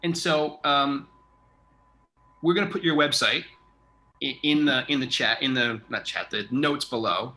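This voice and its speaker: A man's voice: mid-range voice, measured pace, pauses often, bright, forceful tone. A man hosting a casual interview in an informal, chatty, effusive way. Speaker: interview host, theater artistic director.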